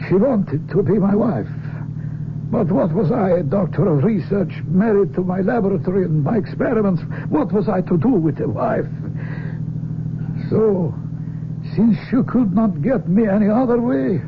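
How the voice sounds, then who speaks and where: An actor playing an aging, proud Russian research doctor in a radio drama, speaking formally and deliberately with a Russian accent. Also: male voice, high-pitched, expressive. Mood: wry, tender. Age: older. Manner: formal, deliberate phrasing, searching for words. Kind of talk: 1970s radio drama acting. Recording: noisy, narrow-band old radio recording.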